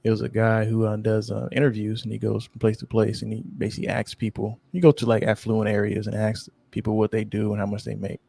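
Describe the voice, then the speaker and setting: A man with a lowish, gravelly voice co-hosts a podcast in relaxed conversation. He rambles, pauses on filler sounds and drifts off his point. The sound is close and dry. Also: no echo. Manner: rambling, loses his train of thought, many ums; low-key, sleepy-sounding. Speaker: podcast co-host. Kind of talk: casual chat.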